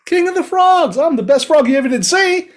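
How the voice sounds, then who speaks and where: A man voicing a small, cheeky, boastful frog in a folktale, talking in excitable bursts and repeating himself. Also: extremely high pitch, pitched above the performer's own voice. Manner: excitable, bragging, repeats words in quick bursts. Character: small cheeky boastful male frog, quick-witted trickster.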